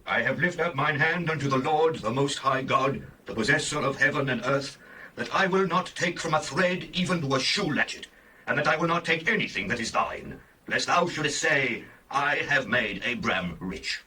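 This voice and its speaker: A man's voice: mid-range voice, normal speed, pushed and bright, husky. An actor playing an earnest, devout, pleading Hebrew patriarch, a man speaking reverently in a dramatized scripture reading. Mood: firm, resolute. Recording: distant from the microphone.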